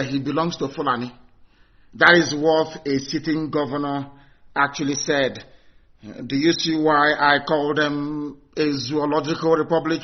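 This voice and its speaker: Impassioned man on a lo-fi stream. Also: fairly high pitch. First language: Igbo. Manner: fervent and agitated, crying out exclamations and rhetorical questions. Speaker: broadcaster of a live stream. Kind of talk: impassioned live-stream address.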